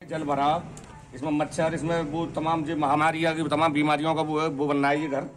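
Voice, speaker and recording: Slightly high, even-pitched man complaining. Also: normal speed, slight background noise. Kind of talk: complaint to camera.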